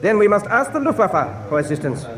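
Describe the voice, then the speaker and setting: An actor playing a stern, exacting German admiral in a radio drama, clipped, formal and commanding. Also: male voice, high-pitched, big pitch swings, a little fast, a bit bright. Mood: curt, commanding. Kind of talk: full-cast radio drama acting.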